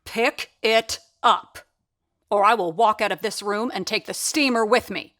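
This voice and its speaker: An actress voicing a wry, sharp-tongued woman who does radio sound effects in a 1930s theater, narrating in character in an audio drama. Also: medium pitch, a little slow, no echo, close to an everyday voice. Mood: stern. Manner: wry, sardonic.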